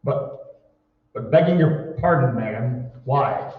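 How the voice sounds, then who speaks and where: A man's voice: mid-range voice, takes it slowly, natural voice. Man acting a formal deferential manservant, hesitant, old-time radio play, muffled far-off recording, long echo. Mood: polite bewilderment. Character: deferential, puzzled, very proper male household servant.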